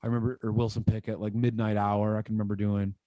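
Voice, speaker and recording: A man in his thirties, a musician from Michigan, telling stories in a casual interview, rambling and animated, speaking into a studio mic at home. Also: fairly low pitch, fast, no room sound. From Michigan, USA. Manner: animated, rambling, lots of "like" fillers and gestures. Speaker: guest musician. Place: home room, indoors. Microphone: studio mic on boom arm, video call.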